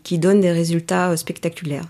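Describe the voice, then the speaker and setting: Lower-voiced middle-aged woman, reflective interview guest. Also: level tone, a little fast, warm tone. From Paris, France, in a Greek family. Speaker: interview guest, executive coach.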